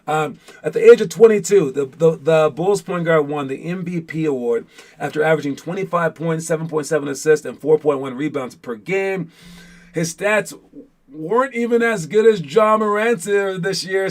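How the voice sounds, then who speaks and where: A man's voice: fairly high pitch, normal speed. A man hosting a basketball show as casual chat with viewers, talking in an informal, rambling way with playful teasing.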